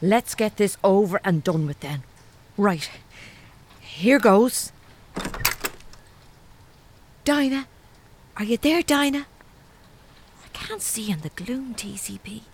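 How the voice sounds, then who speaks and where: A woman's voice: mid-range voice, lively intonation, slow, pauses often, darkish tone. An actress playing a jumpy, blunt young Irish woman in a comedy audio drama. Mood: nervous. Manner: nervy, blunt, salty. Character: jumpy, plain-spoken young Irish woman, easily spooked.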